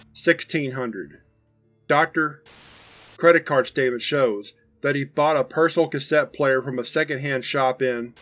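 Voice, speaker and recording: A man reading a formal case file as scripted narration in a measured, official manner. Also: medium pitch, fairly bright tone.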